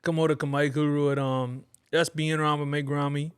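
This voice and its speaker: A man's voice: a little high, fairly quick, clear, resonant tone, dry recording, clean studio sound. A young Bahamian man, a podcast guest, telling stories in casual chat and often repeating his phrases. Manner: animated storytelling, repeats phrases.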